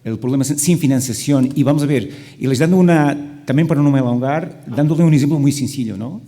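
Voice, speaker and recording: A Portuguese man speaking Spanish with a Portuguese accent as a panelist, halting with many restarts. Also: mid-range voice, mellow. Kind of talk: panel discussion.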